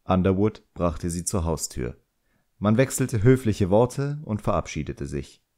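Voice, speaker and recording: Low-voiced man reading an audiobook. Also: animated, a little slow, dark tone. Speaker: audiobook narrator. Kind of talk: scripted narration of a fantasy novel.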